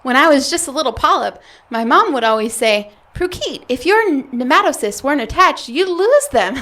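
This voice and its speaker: A woman's voice: sits high, expressive, moderate pace, bright, forceful tone. A woman playing a flustered, evasive alien graduate student who stammers and chuckles nervously in an audio comedy. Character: flustered female alien grad student, evasive, squeamish fibber. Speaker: voice actor. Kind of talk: audio comedy drama acting.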